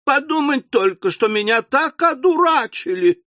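A man's voice: very high voice, animated, a little slow, bright, forceful tone, no room sound, clean studio sound, pitched above the performer's own voice, exaggerated voice. A man voicing a spoiled young lady who cries out in whiny, tearful dismay. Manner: whiny, crying exclamations.

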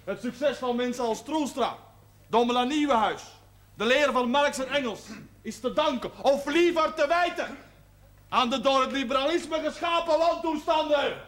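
Combative working guy, really high voice, needling, period tv drama. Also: normal speed, a bit bright. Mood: sardonic. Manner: blunt, needling. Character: blunt, combative socialist-minded working man, scornful of bosses. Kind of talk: television period drama acting.

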